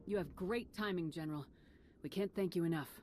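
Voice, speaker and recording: A woman's voice: on the low side, brisk, a little mellow, soft background music, natural voice. An actress playing a resolute, commanding female hero in a video game, firm and decisive. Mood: relieved. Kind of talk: video game dialogue acting.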